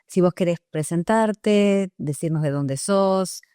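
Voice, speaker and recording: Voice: medium pitch, takes it slowly, warm tone, clear, resonant tone, no room sound, studio quality. An interviewer with an Argentine accent, opening the talk and asking questions in a friendly, conversational manner.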